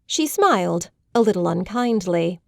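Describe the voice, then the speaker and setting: A woman narrating a literary audiobook in a measured, polished storytelling manner. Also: on the low side, animated, gravelly, a little mellow, no echo, studio quality.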